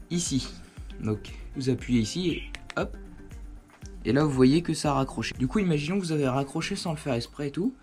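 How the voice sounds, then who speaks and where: A man's voice: fairly high pitch, darkish tone. Casual man demonstrating gear, music behind. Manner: informal, explanatory, conversational. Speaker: host of a motorcycle gear review channel. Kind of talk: casual hands-on product demonstration. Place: indoors at home.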